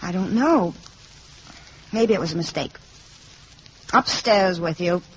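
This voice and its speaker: On a dull old radio recording with faint hiss, an affectionate young mother. Her gentle, courteous speech has an animated lilt. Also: lowish voice, takes it slowly. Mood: puzzled, firm. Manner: gentle, polite, softly affectionate. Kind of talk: 1950s radio drama acting.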